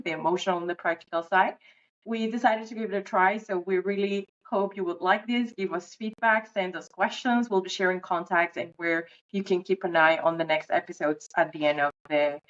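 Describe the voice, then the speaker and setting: A woman in her thirties, from Venezuela, co-hosts a podcast in a relaxed, explanatory way. Her voice sits at a middle pitch. The recording sounds a little dull and lo-fi. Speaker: podcast co-host. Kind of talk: casual podcast chat.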